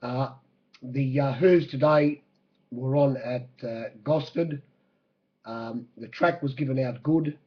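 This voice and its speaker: A husky, croaky Australian man recaps horse races. He speaks haltingly and matter-of-factly, like someone working from memory as a bookmaker. The audio is a little dull and lo-fi. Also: medium pitch, steady tone. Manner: halting, hesitant, matter-of-fact. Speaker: racing bookmaker giving a race-day report. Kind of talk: spoken horse racing recap.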